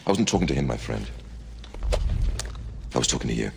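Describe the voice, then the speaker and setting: A menacing game villain, with an extremely low, gravelly voice. He preaches with slow, deliberate zeal and swooping emphasis. Clear ambient noise sits behind him. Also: very animated, heavily acted. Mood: ominous persuasion. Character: menacing zealous male villain, soft-spoken and preaching. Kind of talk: video game cutscene acting.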